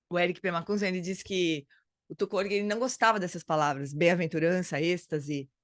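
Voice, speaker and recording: A woman's voice: lowish voice, steady tone, moderate pace, no room sound, studio quality. A woman leading a dharma study group, explaining in a casual, hesitant way and often stopping to search for words. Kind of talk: casual spoken teaching.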